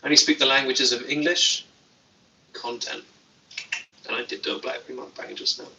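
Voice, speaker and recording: A man hosting a casual livestream, reading viewer comments with a deadpan, playful manner and jokey asides. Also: medium pitch, fairly quick, recorded from a distance, background noise. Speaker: YouTuber livestream host.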